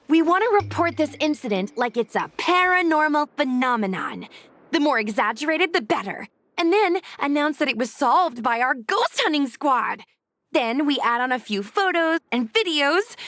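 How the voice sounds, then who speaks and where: Game role: pushy upbeat girl schemer, animated swoops, dry close sound. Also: high-pitched, a little slow, no echo. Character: bossy, enthusiastic young ghost-hunting girl, scheming and upbeat. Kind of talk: anime-style video game acting. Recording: dry voice with faint music.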